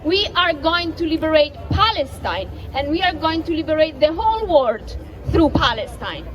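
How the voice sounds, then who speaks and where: Woman, high voice, rousing protest speech, repeats lines, handheld mic, outdoor square, crowd murmur. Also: sing-song, normal speed, low-quality recording. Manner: impassioned, rousing, repeats phrases. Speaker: rally speaker. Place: outdoor city square rally. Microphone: handheld mic through PA. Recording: outdoor PA with crowd and street noise.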